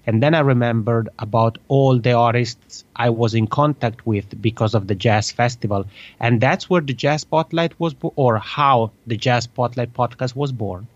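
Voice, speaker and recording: A man with an Italian accent, Italian his native tongue, talks easily and cheerfully as a guest. His voice comes through a dry, dull, lo-fi recording with no room sound. The pitch sits in the middle range. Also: no room sound. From Italy. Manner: cheerful, chatty, quick with laughs. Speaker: podcast guest. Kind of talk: casual interview chat.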